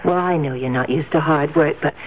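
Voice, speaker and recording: An actress playing a doting but controlling young wife in a 1970s radio drama, coaxing and gently patronizing, on a tinny old recording. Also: female voice, low-pitched, brisk, a little hiss or hum, lightly acted. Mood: sweetly firm.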